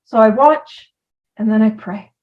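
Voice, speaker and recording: A woman's voice: on the high side, measured pace, far from the mic, narrow, tinny audio. A woman giving an informal talk about recovery, chatty and self-deprecating, who drifts from point to point. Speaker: recovery program speaker.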